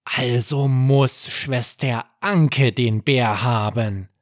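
Man voicing an earnest little boy detective, slightly raised pitch. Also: extremely slow, no room sound, clean studio sound. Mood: pondering. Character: bright, serious little boy playing detective.

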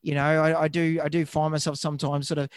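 A man co-hosting a vet podcast, an animated, chatty storyteller with a slightly squeaky voice and many restarts. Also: quick pace, smooth, dry recording, studio quality. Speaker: veterinarian podcast co-host. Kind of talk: casual chat.

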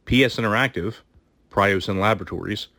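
A man's voice: on the low side, brisk, bright, forceful tone. A man co-narrating an audiobook, reading out a formal public notice.

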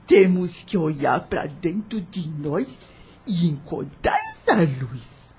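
An actress playing a wise, devout old enslaved nanny in a radio drama, gentle and motherly, with a husky voice and rustic, unschooled speech. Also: sits low, lively intonation, takes it slowly, slight background noise. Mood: earnest, devout. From taken by force from her homeland. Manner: rustic uneducated grammar, patient moral teaching.

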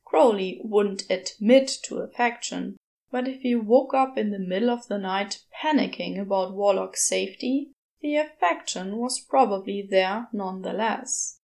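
A woman's voice: medium pitch, a little slow, creaky, a little mellow. A woman reading a story aloud as its narrator, in scripted prose narration. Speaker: podfic narrator.

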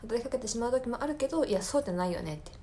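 A woman hosting a podcast in a reflective solo talk, speaking earnestly and often pausing to search for her words. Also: on the high side, lively intonation, dark tone, a little hiss or hum. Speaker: podcast host. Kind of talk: reflective solo talk, personal film commentary.

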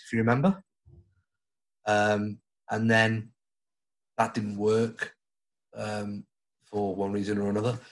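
A middle-aged man, a guest and former radio DJ, talking reflectively and fondly in casual chat. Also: lowish voice. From Stoke-on-Trent, England. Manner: reflective, fond, calls the listener mate.

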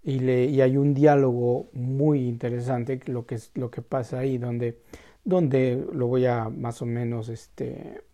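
A man telling a Zen story in a casual, halting way, full of restarts and tag questions. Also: medium pitch, steady tone, mellow.

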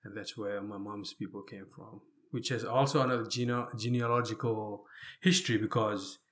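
A man from Fiji speaking as an interview guest, telling his life story conversationally and pausing often as he goes. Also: lowish voice, fairly even intonation, fairly bright tone.

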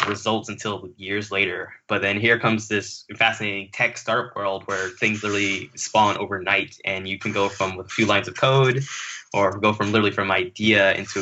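Young guy from jamaica on a podcast, kinda low voice but bright, muffled lo-fi audio. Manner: relaxed, with many "like" and "you know" fillers. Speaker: podcast guest. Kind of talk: casual interview chat. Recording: dull lo-fi audio.

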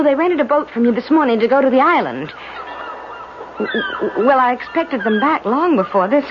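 An actress playing an anxious, worrying wife and mother in a 1970s radio drama, hesitant, agitated and pleading. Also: high voice. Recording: dull, noisy old radio recording.

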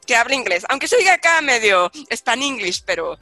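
A woman's voice: on the high side, big pitch swings, a little fast, pushed and bright, dry recording, faint music behind. A woman hosting a webinar as a casual group chat, playful and teasing in manner. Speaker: webinar host.

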